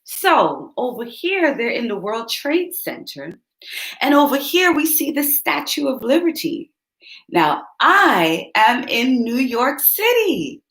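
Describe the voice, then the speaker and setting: Woman, children's book read-aloud, slow and careful, roomy echo. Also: on the high side, very animated, a bit bright. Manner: speaks directly to young listeners, asks them questions.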